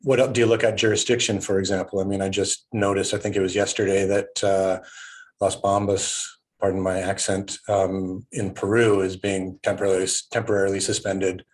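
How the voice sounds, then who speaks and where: A man hosting a webinar over a webcam call. His voice is fairly low and notably flat, and he feels his way into his questions with false starts and restarts. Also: poor audio. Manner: halting, restarting phrases. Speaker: webinar host. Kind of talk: interview questions.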